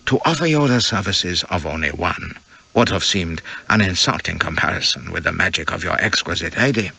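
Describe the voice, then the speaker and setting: A man voicing a courteous, very tall Chinese gentleman in an audiobook, speaking with formal, measured, self-deprecating politeness. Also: deep voice, creaky, husky, dry recording, exaggerated voice. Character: suave, courteous, very tall Chinese male host, effusively humble. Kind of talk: audiobook character dialogue.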